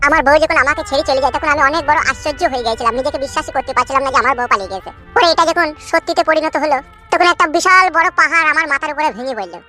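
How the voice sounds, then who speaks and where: A jilted village husband , voiced by a performer, wails through his heartbreak at a breakneck clip. The voice sits high and squeaky for a man, and he piles image on image in long laments. A cartoon voice over a soft music bed. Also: rapid-fire. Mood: aggrieved. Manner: long wailing complaints full of florid images. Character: jilted young village husband, self-pitying and dramatic. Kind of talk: cartoon dub acting.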